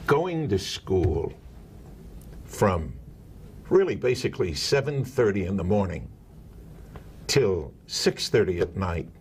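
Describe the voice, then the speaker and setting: A man's voice: low-pitched, sing-song, slow pace, long pauses, a little mellow, a little hiss or hum. An older man, a veteran broadcaster, chatting as an interview guest and telling stories with jokes at his own expense. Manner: chatty, joking storyteller. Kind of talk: casual interview chat.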